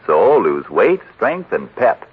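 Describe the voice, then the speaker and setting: Muffled old radio, male announcer, 1940s sales pitch style, lowish voice, very lively intonation. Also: slow pace, slightly rough. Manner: polished, emphatic salesman delivery.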